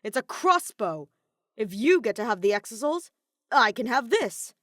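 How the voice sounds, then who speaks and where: A woman voicing a defiant, hot-headed teenage boy in an audiobook, growling and impatient in a forceful way. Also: a little high, sing-song, moderate pace, no room sound. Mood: angry. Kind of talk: character voice in fan fiction audiobook.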